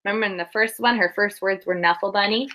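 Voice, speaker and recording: Bright-voiced woman reading to children. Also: medium pitch, fast. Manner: expressive, explains and questions as if to small children. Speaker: teacher reading aloud to young pupils. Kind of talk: children's picture-book read-aloud with asides.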